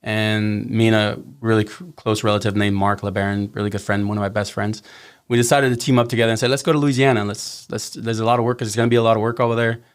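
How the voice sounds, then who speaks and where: A middle-aged man from Mexico with a faint Mexican Spanish accent, speaking in a fairly low voice. He talks at a brisk clip in a casual interview and repeats words as he goes. His sentences often start over. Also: flat delivery, clear, resonant tone. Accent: slight Mexican Spanish. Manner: rambling with restarts and repeated words. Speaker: guest, construction company owner.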